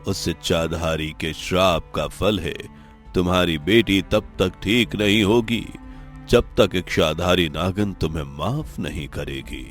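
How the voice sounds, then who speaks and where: A deep-voiced, stern holy man of divine powers acted by a man in a cartoon tale. He delivers solemn judgement and instruction over quiet background music. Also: measured pace. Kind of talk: Hindi cartoon story dialogue.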